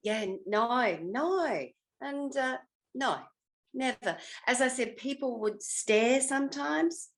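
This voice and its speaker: A woman's voice: high voice, lively intonation, takes it slowly. An older woman with an Australian accent reminisces casually as an interview guest, modest and often trailing off mid-thought. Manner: self-effacing, rambling, trailing off mid-thought. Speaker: interview guest, former television actress.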